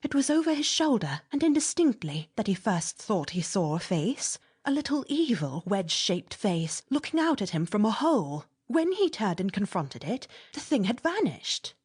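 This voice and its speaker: A woman narrating classic literary fiction as a dramatic audiobook reading, expressive and measured, with theatrical emphasis. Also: medium pitch, slightly rough.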